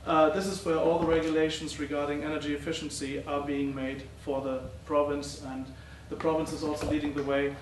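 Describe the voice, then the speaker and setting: A middle-aged man giving a conference talk as a professor presenting research, speaking haltingly with many uh and um fillers. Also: on the high side, flat delivery. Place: lecture hall. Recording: roomy, distant, faint noise.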